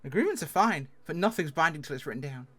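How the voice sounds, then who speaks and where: A woman's voice: deep voice, lively intonation, fast, fairly bright tone. A woman in an improvised legal roleplay, playing a public defender in a firm, blunt, assertive way.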